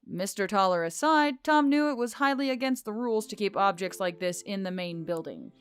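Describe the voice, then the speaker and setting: A woman voicing a wry, weary male boss of a magical tech office in an audiobook story, speaking in a measured, murmuring way. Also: mid-range voice, expressive, smooth, lightly acted. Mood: pondering. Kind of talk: audiobook character dialogue.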